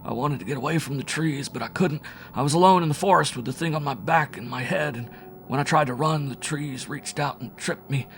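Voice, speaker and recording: A man's voice: a little high, fairly quick, a little hiss or hum, pitched above the performer's own voice, lightly acted. A man voicing a delirious, terrified young man who sobs and cries out wildly. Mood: raving. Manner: sobbing, crying out wildly.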